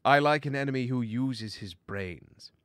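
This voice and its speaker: A man's voice: mid-range voice, unhurried, a bit bright, no echo, clean studio sound, pitched above the performer's own voice, natural voice. A man voicing a villainous pirate stoat captain in a storybook, theatrical, mocking and commanding. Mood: smug, commanding. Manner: theatrical, taunting.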